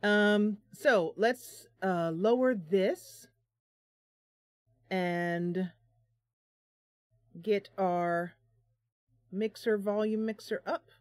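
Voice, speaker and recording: A woman's voice: mid-range voice, drawn-out words, pauses often, no room sound, studio quality. A woman hosting a live stream, chatting casually with viewers in a bubbly, talkative way. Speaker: live stream host, gamer.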